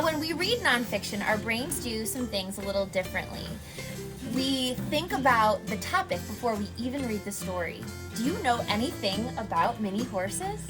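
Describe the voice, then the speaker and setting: A woman reading a picture book aloud to children like a teacher, expressively, pausing to explain and ask questions. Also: a little high. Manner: expressive, instructive, asking questions of young listeners.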